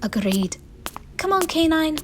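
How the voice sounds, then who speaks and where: A woman playing a spirited companion of a time traveller, expressive, in a fan audio drama. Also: high-pitched, unhurried, background noise. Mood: friendly. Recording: music behind the voice.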